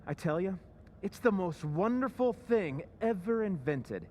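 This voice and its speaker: A meek husband with a secret, voiced by a man, talks in a sly, conspiratorial radio-play manner. His voice sits fairly high and leaps around giddily. Faint noise hums behind him. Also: big pitch swings, measured pace, dark tone. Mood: giddy. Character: timid husband with a secret scheme. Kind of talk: old-time radio drama acting.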